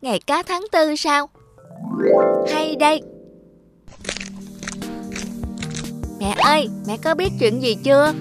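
A woman voicing a mischievous, expressive little boy in a cartoon dub, with a childlike manner. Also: high voice, a little slow. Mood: excited, sly. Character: mischievous little boy prankster who turns remorseful. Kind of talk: cartoon dubbing. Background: music.

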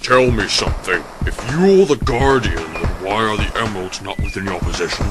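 A man's voice: fairly low pitch, lively intonation, a little fast, lightly acted. A man playing a cold, cruel villain in an amateur radio play, taunting and grandiose. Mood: smug menace. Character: menacing male villain spreading darkness, cold and cruel. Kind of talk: amateur audio drama acting. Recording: voice under loud music.

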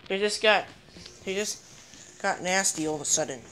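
A woman's voice: mid-range voice, normal speed, background noise. A woman filming a ghost-hunting vlog on a handheld phone inside a dim house, exclaiming and repeating herself as she looks around. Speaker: vlogger exploring a house. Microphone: handheld phone camera.

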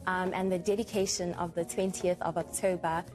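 Young woman guest from south africa, a bit low-pitched, calm articulate answers, lapel mic, faint music. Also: moderate pace, rough recording. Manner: composed and articulate, few fillers. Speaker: air traffic services officer guest. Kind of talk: TV morning show interview. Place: TV studio set.

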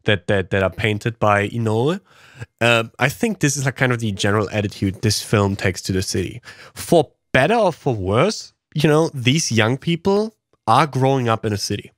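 A man's voice: medium pitch, expressive, normal speed, fairly bright tone, no room sound, clean studio sound. A man on a podcast talking casually about film, filling his explanations with "like" and "you know". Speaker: podcast panelist.

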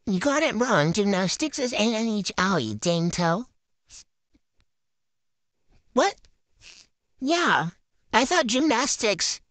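A woman's voice: fairly high pitch, dry recording. A woman voicing a bratty, ditzy female gymnast in a silly game roleplay, with a boastful valley-girl drawl, on a dry close mic. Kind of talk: improvised game roleplay voiceover.

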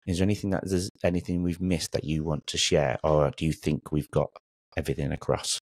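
A male podcast host in his forties with a very low voice. He talks conversationally and affirms his guest as he goes. The sound is dry and close, as from a small home studio. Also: little pitch movement, a little fast, darkish tone, dry recording. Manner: encouraging, affirming. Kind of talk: warm conversational interview.

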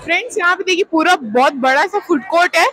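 Woman, young, high voice, chatty vlogger talking to viewers, clear music behind. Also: animated, fast, fairly bright tone. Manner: chatty, addresses viewers directly. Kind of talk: casual shopping vlog narration. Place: shopping mall, indoors.

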